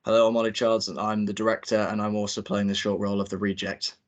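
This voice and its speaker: Quick-talking young man, lowish voice. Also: monotone, dull audio. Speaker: director hosting a table read and reading narration. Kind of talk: informal hosting and script narration.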